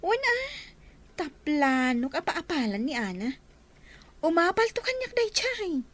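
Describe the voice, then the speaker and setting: Naive proud young woman, high animated voice, plaintive, emphatic, Ilocano accent, radio drama. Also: unhurried, heavily acted. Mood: dreamy, boastful. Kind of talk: Filipino radio drama acting.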